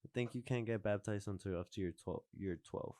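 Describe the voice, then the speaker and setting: A man's voice: on the low side, fairly quick, dark tone, dry recording, clean studio sound. A man in his twenties hosting a podcast, chatting casually, rambling and restarting his sentences. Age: 20s. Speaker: podcast host. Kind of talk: casual chat.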